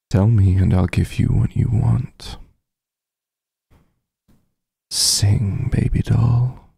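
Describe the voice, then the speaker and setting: Vampire mafia heir for ASMR roleplay, male actor: low, very dark husky voice, close and dry, teasing, possessive. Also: no room sound, exaggerated voice. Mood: seductive, coaxing. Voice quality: husky, breathy, gravelly. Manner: slow, smooth-tempered, teasing drawl with soft murmurs. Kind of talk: intimate ASMR roleplay acting.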